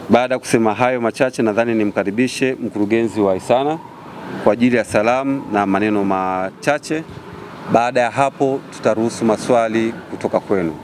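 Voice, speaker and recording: Man, Tanzanian accent, lowish voice, press conference address, organiser, faint traffic noise behind. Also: a little mellow. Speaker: event organiser.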